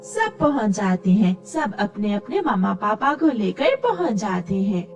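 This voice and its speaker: A woman's voice: mid-range voice, very animated, measured pace. A woman narrating a scripted children's story as the storyteller. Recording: distant mic with music behind.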